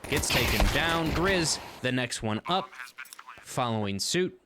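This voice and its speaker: A man's voice: medium pitch, lively intonation, measured pace, bright, forceful tone, a little hiss or hum. A man with a Midwestern accent casting an esports match, calling the play and joking with chat. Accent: Midwestern American. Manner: loose, joking, talks back to chat. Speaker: esports caster. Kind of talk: play-by-play commentary mixed with chat replies.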